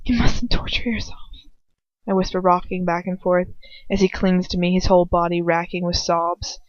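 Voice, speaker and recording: A woman's voice: fairly low pitch, completely flat delivery, fairly quick, no echo. Woman reading fiction, dull dry home recording. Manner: earnest, emotive reading. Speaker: amateur audiobook reader. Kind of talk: dramatic first-person fiction narration.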